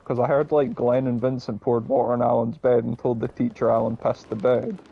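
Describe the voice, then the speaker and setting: A man's voice: mid-range voice, level tone, a little fast, gravelly, husky, dull audio. A young man with a Scottish accent chatting casually and telling rambling, sweary stories while he plays a game. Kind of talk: casual chat and storytelling while gaming.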